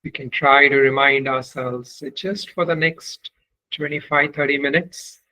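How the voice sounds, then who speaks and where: A man leading a guided meditation as a teacher, speaking in measured, deliberate phrases with long pauses between them. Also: on the high side, flat delivery, pushed and bright, rough recording.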